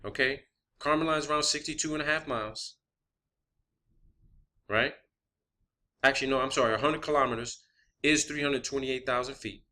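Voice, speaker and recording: A man's voice: mid-range voice, normal speed, long pauses, pushed and bright. A man giving an informal opinion monologue as a video host, emphatic and combative, often swearing. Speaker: video host presenting own theory.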